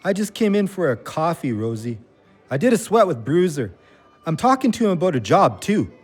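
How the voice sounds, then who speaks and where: A man's voice: fairly high pitch, sing-song, moderate pace, breathy edge, soft background music. An actor playing an earnest man just out of prison and trying to reform, in an audio drama. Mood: earnest, low-key.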